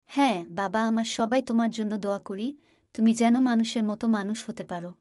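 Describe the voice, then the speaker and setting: A woman's voice: on the high side, a little fast, mellow, dry recording, natural voice. A woman playing a patient village mother, dry sound. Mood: earnest. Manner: explanatory, instructive. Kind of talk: cartoon voice acting.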